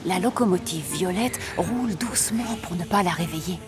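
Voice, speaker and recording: A woman narrator telling a scripted children's cartoon story in an expressive storytelling manner. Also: fairly low pitch, normal speed, over music.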